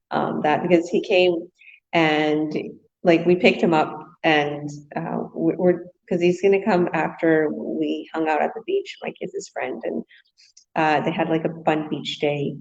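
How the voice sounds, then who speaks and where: Low-voiced Canadian woman gushing on a stream. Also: normal speed, vocal fry, a little mellow. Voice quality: laughs often, gushing. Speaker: host. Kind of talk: casual live stream chat. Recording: dull lo-fi stream audio.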